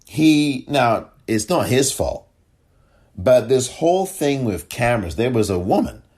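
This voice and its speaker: A middle-aged man hosting a solo commentary podcast, animated and sarcastic, his voice cracking from excitement and leaping high when he gets indignant. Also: unhurried, breathy edge. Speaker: podcast host.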